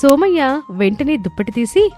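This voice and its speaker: A woman's voice: high voice, sing-song, moderate pace, a little mellow. A woman reading a scripted moral story as its narrator. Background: background music.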